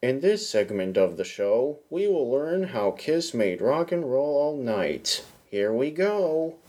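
Guy hosting a podcast, reads his script real slow and measured, mid-range voice. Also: medium pitch, very animated, mellow. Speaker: podcast host. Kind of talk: scripted narration.

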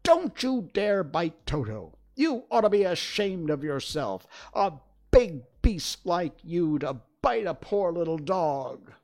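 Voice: medium pitch, big pitch swings, slow pace. Dorothy, a scolding spirited girl read aloud. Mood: indignant. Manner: indignant, scolding. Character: spirited young girl, brave and indignant. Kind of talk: storybook reading.

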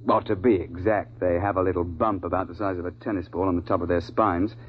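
An actor playing a gruff, no-nonsense big-game guide in a 1950s radio drama, brisk, colloquial and authoritative. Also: male voice, deep voice, steady tone, a little hiss or hum, close to an everyday voice. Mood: patient explaining. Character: gruff, no-nonsense British big-game safari guide recounting his hunt. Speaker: narrator and lead character. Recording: tinny 1950s radio broadcast, music behind.

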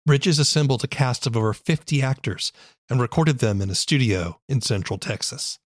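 A man's voice: medium pitch, dry recording, clean studio sound. A man hosting a podcast, delivering scripted introductions and asking interview questions.

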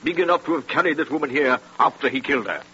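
Fast, forceful British police investigator. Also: male voice, medium pitch, expressive. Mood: brisk, decisive. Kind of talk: 1930s radio acting. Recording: noisy, muffled 1930s radio recording.

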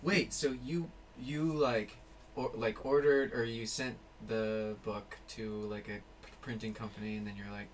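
A man hosting a casual interview, enthusiastic and quick to jump in with reactions. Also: fairly low pitch, steady tone, rough recording.

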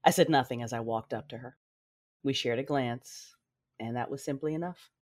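A woman playing a hardened, guarded warrior mother who narrates an audio drama in a terse, measured way. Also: rumbling low, darkish tone. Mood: brooding.

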